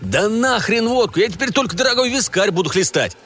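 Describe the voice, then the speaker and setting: A man doing a loud, crude, greedy stalker. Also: sits high, a little fast, voice pushed up, full character voice. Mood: boastful. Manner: loud, excited, coarse. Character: boisterous greedy male stalker, crude slang.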